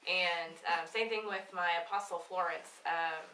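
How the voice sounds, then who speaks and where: A woman's voice: lowish voice, fairly even intonation, a little slow, sharp tone, slight background noise. A young woman chatting casually about a mission trip, with many ums and easy laughs. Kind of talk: casual chat. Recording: echoey room, mic at a distance.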